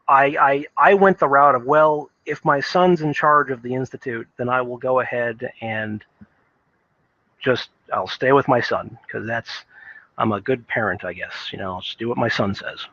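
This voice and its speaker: A man's voice: medium pitch, a bit bright, dry recording. A man with a mid-range voice talks off the cuff about video games, earnest and emphatic. He rambles and doubles back on his words. The sound is dry and close, with a dull, lo-fi edge. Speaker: video game commentator. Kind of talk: casual unscripted opinion commentary on video games.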